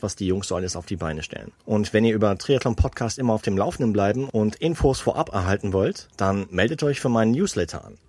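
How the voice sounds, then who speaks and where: A podcast host with a fairly low voice, speaking in a relaxed, friendly way. He is interviewing a guest from inside a car, with a dry, close sound. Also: no echo. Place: inside a parked car at an expo.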